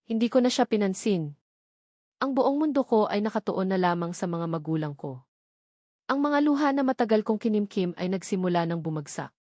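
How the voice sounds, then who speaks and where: A woman's voice: medium pitch, lively intonation, normal speed, darkish tone, dry recording, clean studio sound. A woman reading a dramatic romance story as a scripted narration.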